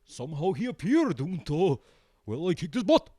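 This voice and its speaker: A man giving a comic interview as a band guest, with a deadpan, halting delivery. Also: fairly high pitch, no room sound, studio quality. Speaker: band member, show guest.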